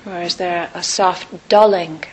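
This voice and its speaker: A woman teaching meditation, guiding listeners in short, unhurried phrases with long pauses between them. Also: fairly low pitch, fairly even intonation, a little mellow, rough recording. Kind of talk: guided meditation.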